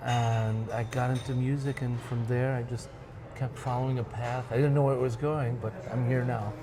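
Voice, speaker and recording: A man's voice: medium pitch, level tone, moderate pace, crowd noise. A man from Michigan with an American accent, talking casually as an interview guest and slipping Arabic phrases into his English. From Michigan, United States. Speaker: interview guest, musician and music director. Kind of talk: casual interview talk, reminiscing. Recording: clearly noisy background ambience.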